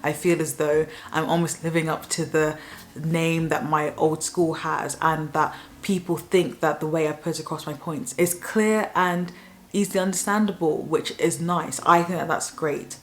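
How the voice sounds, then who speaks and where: A young woman with a Southern English accent talks straight to camera in an opinion vlog. Her voice sits low for a woman, and she gives her views frankly and plainly, in an easy, conversational way. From south of England. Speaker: YouTuber.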